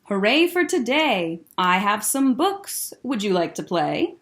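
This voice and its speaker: A woman voicing a cheerful little female owl who keeps asking friends to play and whispers soft goodnights. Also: medium pitch, very animated, unhurried. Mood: hopeful.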